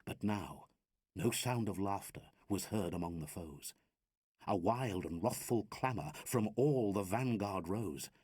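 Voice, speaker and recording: A man performs narrative verse with theatrical, declamatory weight. His husky voice moves at a very measured pace and swings widely in pitch. The sound is close and dry. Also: expressive, darkish tone, no room sound. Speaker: reader of narrative poetry. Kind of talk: dramatic recited narrative poem.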